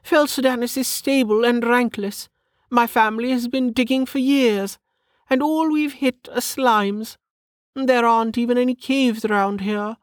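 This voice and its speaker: A woman as a high-pitched haughty noblewoman. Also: steady tone, measured pace, no room sound, studio quality, lightly acted. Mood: testy, insistent. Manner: haughty, sniffing, proper. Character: haughty, prim noblewoman, testy and snobbish. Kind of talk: audiobook character acting.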